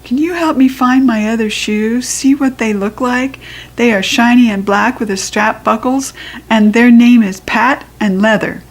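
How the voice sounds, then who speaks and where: A woman playing an excitable little storybook girl, pitched up. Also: unhurried. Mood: pleading, chatty. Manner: childlike, emphatic, indignant bursts. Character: excitable, brash little girl with mangled grammar. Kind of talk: children's storybook character reading.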